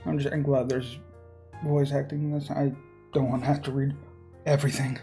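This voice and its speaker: A man's voice: mid-range voice, level tone, brisk, vocal fry, dark tone, faint music behind. A man reading visual novel text aloud as a gaming YouTuber, with casual commentary.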